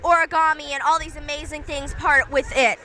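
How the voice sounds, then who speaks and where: A woman's voice: high voice, fairly even intonation, a little fast, pushed and bright. A teenage girl interviewing filmmakers as a kid film critic, enthusiastic and full of gushing praise. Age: teens. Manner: enthusiastic, gushing, filler-heavy. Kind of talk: red-carpet interview.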